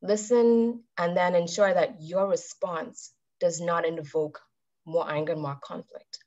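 Thirtyish woman giving a calm club talk on a video call, lowish voice, kinda muffled webcam audio. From Bahamas. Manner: calm, measured, asks the audience questions. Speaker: club speaker. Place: indoors with a virtual background.

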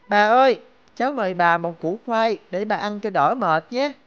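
A woman voicing a kind, naive and polite little village boy in an animated fairy tale. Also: mid-range voice, a little slow, lo-fi sound, natural voice. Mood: earnest, wondering. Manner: naive, polite, thinking aloud to himself. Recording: background music.

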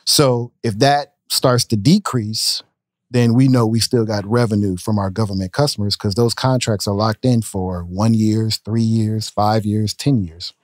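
A man in his thirties, a business owner telling stories in a casual podcast interview, relaxed and talking with his hands. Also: lowish voice, unhurried, dry recording. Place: podcast studio, indoors. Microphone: studio mic on a boom arm. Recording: dry close studio sound.

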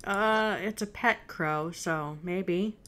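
A woman's voice: fairly low pitch, slow, very noisy. A woman in her thirties giving casual, off-the-cuff reaction commentary at home. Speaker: reaction video host. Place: indoor room at home.

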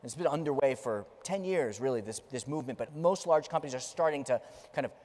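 A man's voice: mid-range voice, animated, fast, a little mellow. A middle-aged man giving a conference keynote, telling stories with emphasis as he gestures and moves around a large stage. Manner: emphatic, storytelling delivery, gesturing while pacing the stage. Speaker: keynote speaker. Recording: long reverb of a large hall.

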